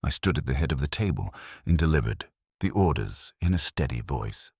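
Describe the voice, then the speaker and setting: Very deep-voiced male story narrator. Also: expressive, a little fast, creaky, a little mellow, husky, no echo, studio quality. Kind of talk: scripted first-person drama narration.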